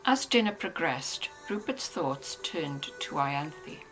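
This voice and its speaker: A woman's voice: deep voice, expressive, slow pace, over music. A woman reading scripted literary narration as the narrator of a dramatized novel.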